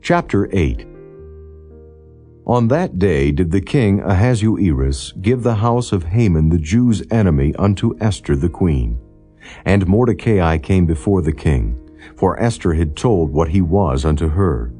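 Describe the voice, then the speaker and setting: A man reading scripture as a solemn, formal audiobook narrator, in a measured and non-dramatized style. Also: sits low, expressive, warm tone, husky. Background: background music.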